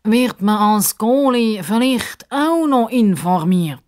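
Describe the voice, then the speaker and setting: A woman voicing a touchy, eager young male crocodile in a children's story, with drawn-out, grumbling delivery. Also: a little high, lively intonation, darkish tone, pitched above the performer's own voice. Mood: indignant.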